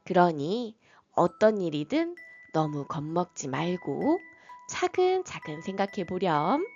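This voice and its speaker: A woman voicing a gentle mother reassuring her child in a bedtime story, softly and slowly. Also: on the low side, very animated, lo-fi sound, soft background music, full character voice. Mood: soothing. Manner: soft, reassuring.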